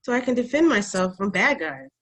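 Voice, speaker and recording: A woman's voice: medium pitch, sing-song, fairly quick, lightly acted. Woman as a cheeky, eager young girl. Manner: cheeky, insistent. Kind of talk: screenplay table read.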